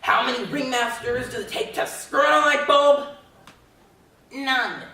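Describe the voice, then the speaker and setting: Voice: high-pitched, a little slow, sharp tone, exaggerated voice. A performer playing a wry, downtrodden circus clown in a readers theater monologue. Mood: wry, self-mocking. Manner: wry, joking. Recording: echoey hall, distant, faint noise.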